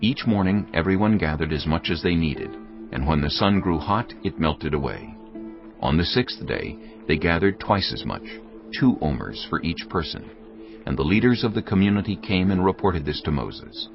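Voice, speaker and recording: A man's voice: sits low, animated, moderate pace. A man narrating dramatized scripture from a script, as the storyteller between the characters' lines. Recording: dull band-limited audio with music.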